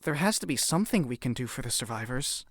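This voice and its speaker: A man's voice: mid-range voice, sing-song, quick pace, a bit bright, no echo, studio quality. A man acting in an audio drama, playing an earnest young man pleading for others. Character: idealistic young man, troubled by suffering.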